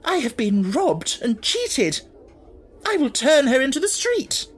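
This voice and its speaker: A man's voice: very high-pitched, sing-song, measured pace, breathy edge, voice pushed up, full character voice. A man voicing a harsh, imperious, indignant middle-aged headmistress in an audiobook story. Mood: furious.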